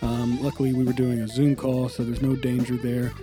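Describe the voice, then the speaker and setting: A man's voice: medium pitch, a little fast, soft background music. Stuffy-voiced man hosting a podcast. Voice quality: stuffy, congested. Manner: relaxed, many ums and uhs. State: recovering from illness, stuffy nose. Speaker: podcast host. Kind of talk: casual podcast intro and interview.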